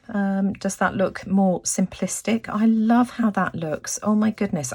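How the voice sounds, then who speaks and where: A woman with a croaky voice, narrating a casual craft tutorial as host, chatting and thinking aloud. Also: medium pitch, a little mellow.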